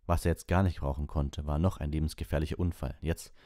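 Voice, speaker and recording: A man's voice: rumbling low, fairly even intonation, fast, warm tone, no echo, studio quality. A man reading an audiobook as narrator, in scripted storybook narration.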